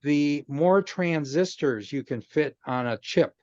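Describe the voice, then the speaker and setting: A man's voice: on the high side, slow pace, darkish tone, no room sound, muffled recording. A man in a casual video call chat, explaining technical ideas patiently with lots of "um" and restarts. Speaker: guest explaining chip technology. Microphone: video call microphone.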